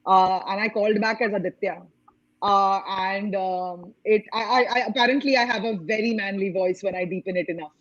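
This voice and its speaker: A woman's voice: mid-range voice, fairly even intonation, normal speed, highs cut off. A woman hosting an online panel in a chatty way, full of fillers and restarts. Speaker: panel host.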